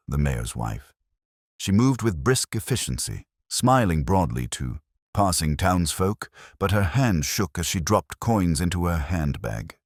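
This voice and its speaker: Man reading a mystery story, super low voice, kinda husky, animated. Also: no echo, clean studio sound. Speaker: narrator. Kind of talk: scripted mystery narration.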